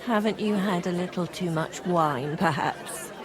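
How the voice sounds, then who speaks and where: An actress playing a young medieval serving maid in a video game, coy and teasing. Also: female voice, fairly low pitch, measured pace, a crowd in the background, close to an everyday voice. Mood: coy, guarded. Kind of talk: video game cutscene acting.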